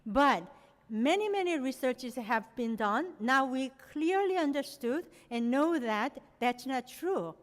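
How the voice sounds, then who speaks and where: A woman's voice: high voice, animated, slow. Korean-born woman lecturing in a reverberant hall. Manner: frequent "you know" fillers, non-native phrasing. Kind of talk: academic presentation.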